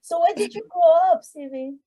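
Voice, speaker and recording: A woman's voice: very high-pitched, normal speed, mellow. A woman hosting an interview show, reading a guest introduction and then asking questions with affirming responses. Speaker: podcast host.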